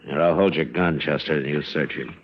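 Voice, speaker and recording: An actor playing a stern, commanding Old West marshal in a radio western, speaking in a terse, authoritative way. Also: male voice, very deep voice, fairly even intonation, a little fast. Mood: stern accusing. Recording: muffled, noisy old radio recording.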